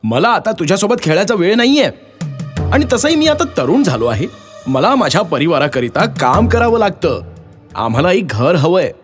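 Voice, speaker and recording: A man's voice: sits high, sing-song, normal speed, pitched above the performer's own voice. A man voicing a brusque young family man asking for help in a children's story. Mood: brusque, needy. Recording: music behind the voice.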